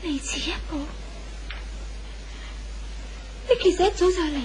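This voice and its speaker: An actress with a Hong Kong Cantonese accent playing a sorrowful, reflective young woman in a 1970s radio drama, speaking in a measured, wistful way. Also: very high voice, background noise. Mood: shaken, subdued.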